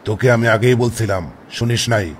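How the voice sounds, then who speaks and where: A man's voice: fairly low pitch, moderate pace, slight background noise, close to an everyday voice. A man voicing a bossy, irritable kidnapper boss in a comic cartoon, scolding and commanding in colloquial Bangladeshi Bengali. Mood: impatient scolding. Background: music.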